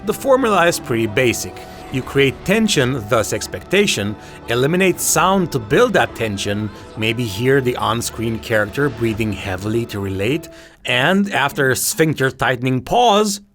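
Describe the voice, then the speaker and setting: Man with lively, swinging intonation over music. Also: medium pitch, normal speed. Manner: casual, sweary, conversational. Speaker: video essay host. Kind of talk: casual opinionated commentary. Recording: music behind the voice. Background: background music.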